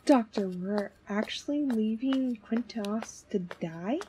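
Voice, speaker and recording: A woman's voice: a little high, lively intonation, takes it slowly, warm tone, husky, full character voice. A woman playing an earnest, inquisitive and compassionate young companion in an audio drama, speaking sincerely. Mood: curious, concerned. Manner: questioning, sincere.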